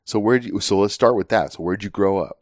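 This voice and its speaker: Deep-voiced podcast host, chummy. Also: male voice, brisk, dry recording, clean studio sound. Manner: relaxed, chummy, laughs easily. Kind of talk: casual interview chat.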